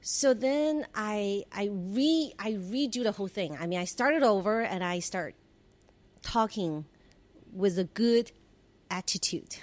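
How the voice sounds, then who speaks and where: A reflective woman in her forties, from China, recounts her work life in an easy conversational way. She often restarts her sentences and laughs softly, in a voice of average pitch. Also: takes it slowly. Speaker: podcast guest, former government relations head. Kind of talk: conversational interview storytelling.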